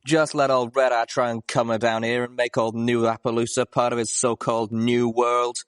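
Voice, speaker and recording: A man's voice: mid-range voice, little pitch movement, moderate pace, clean tone, no room sound, studio quality, lightly acted. A man voicing a boastful, blustering male pony gambler with a folksy, defiant swagger.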